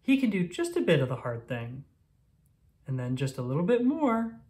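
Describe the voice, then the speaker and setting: Low-pitched, lively woman reading a storybook. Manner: expressive, deliberate storytelling for young children. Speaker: children's book reader. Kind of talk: scripted read-aloud narration of a picture book.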